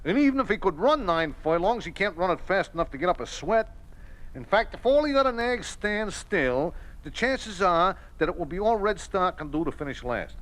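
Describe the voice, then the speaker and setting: An actor playing a wry small-time racetrack bookmaker in a 1950s radio drama, talking in colorful slang and oddly formal phrasing. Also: male voice, high voice, sing-song, moderate pace, a bit bright. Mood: wry, guarded. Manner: colorful Runyonesque slang, formal present tense. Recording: vintage 1950s radio recording.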